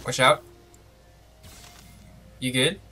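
A man's voice: medium pitch, steady tone, extremely slow, over music. A man from France with a French accent, streaming a shooter game and giving short commands to his team. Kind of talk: gaming commentary with teammates.

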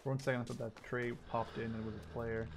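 A man's voice: mid-range voice, flat delivery, fast, heavy background noise. A man giving casual, off-the-cuff commentary while playing a game, informal and sweary as he thinks aloud. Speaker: gameplay commentator. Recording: game gunfire behind the voice. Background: shooter game gunfire and clicks.

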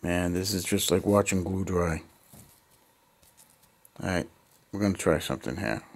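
A man's voice: low-pitched, normal speed, darkish tone. An American man in his fifties chatting casually to camera in an unscripted way, thinking aloud in short muttered bursts in a basement hobby room. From United States. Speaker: hobby vlogger. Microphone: handheld camera near arm's length.

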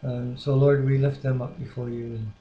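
A man's voice: mid-range voice, steady tone, moderate pace, lo-fi sound. A man in his sixties co-hosting a Bible reading with casual devotional talk, prayer and scripture, recorded on a webcam in a living room. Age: 60s. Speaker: co-host of a Bible reading series. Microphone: webcam microphone at arm's length. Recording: roomy webcam audio with faint noise.

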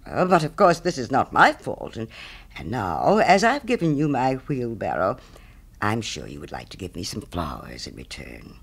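Storybook miller, played by a male reader: smug, self-righteous, preachy, with big pitch swings and some gravel. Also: wildly swooping pitch, moderate pace, fairly bright tone, exaggerated voice. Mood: smugly grasping. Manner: pompous, self-righteous, moralizing. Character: smug selfish miller, grown man, preachy false friend. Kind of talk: storybook character reading.

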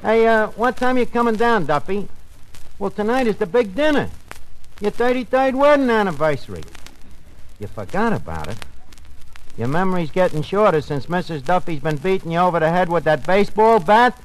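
An actor playing a wisecracking tavern manager on the telephone in 1940s radio comedy, with colloquial, mangled quips. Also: male voice, sits high, big pitch swings, moderate pace. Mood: breezy, wisecracking. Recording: noisy 1940s radio broadcast with clicks.

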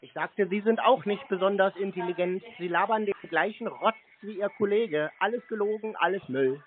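A man's voice: high voice, moderate pace. A man in an argumentative phone call, blunt, insulting and insistent with the person he is confronting. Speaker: prankster confronting phone scammers. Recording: tinny phone line with faint noise.

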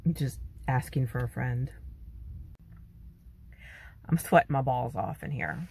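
Slow-talking, sighing woman vlogger. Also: rumbling low, gravelly, darkish tone, husky, rough recording. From California. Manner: slow, sighing, grumbling complaints. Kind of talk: casual vlog chat. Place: parked truck cab, then dim home room. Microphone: phone at arm's length.

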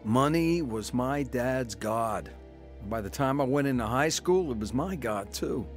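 A man's voice: medium pitch, expressive, unhurried, music in the background, natural voice. An actor playing a grown son who narrates his crooked youth and war years in a scripted radio drama, plain-spoken and matter-of-fact. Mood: reflective.

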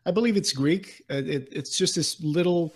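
A man in his thirties with a slightly high voice co-hosts a podcast interview. He talks with keen enthusiasm, conversationally, close to a desk microphone. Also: moderate pace, slightly rough. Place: room at home, indoors. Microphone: desk microphone with pop filter, close.

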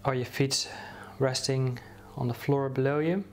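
A man leading a guided meditation, speaking slowly and soothingly with many pauses and restarts. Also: medium pitch, fairly even intonation, slight background noise.

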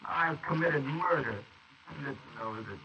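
A man's voice: fairly high pitch, measured pace. A man playing a frightened young husband haunted by guilt, in tense, panicky 1940s radio acting. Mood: terrified. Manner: tense, panicky, rising to shouts. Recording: hissy lo-fi 1940s wire recording.

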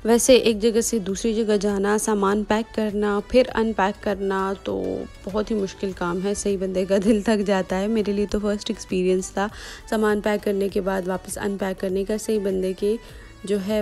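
A woman's voice: medium pitch, steady tone, normal speed, vocal fry, mellow, faint music behind. A young woman, narrating a home vlog in a casual, chatty style. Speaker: vlogger.

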